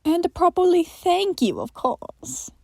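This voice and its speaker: A woman acting uncanny inhuman trickster, high lilting pitch, giddy, teasing, airy, audio fiction, close dry sound. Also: sing-song, measured pace, creaky, no room sound, heavily acted. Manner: airy, gleeful, taunting. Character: giddy, teasing, uncanny inhuman spiral entity named Michael.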